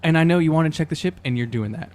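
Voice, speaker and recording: A man playing a tabletop roleplaying game, improvising casually in a halting way and repeating words. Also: on the high side, a little mellow. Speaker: player in an actual-play podcast.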